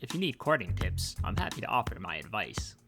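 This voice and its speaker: A man's voice: low voice, very animated, brisk, vocal fry, heavily acted. A man voicing a suave, jovial boss with a playfully pompous manner in a visual novel game. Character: suave, jovial boss offering courting advice. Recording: music behind the voice.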